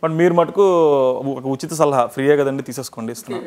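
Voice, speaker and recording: A man's voice: mid-range voice, quick pace, darkish tone. A talkative, encouraging man with a Telugu accent hosting a casual interview, switching between two languages. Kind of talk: casual promotional film interview, mixing Telugu and English.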